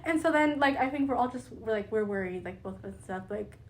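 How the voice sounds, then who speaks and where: A woman's voice: fairly high pitch, expressive, fast. A young Canadian woman with Pakistani roots, talking casually and earnestly on a podcast, filling her speech with "like". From Pakistani family, raised in Canada. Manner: lots of "like" fillers, earnest, sympathetic. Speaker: podcast guest. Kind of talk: casual conversation.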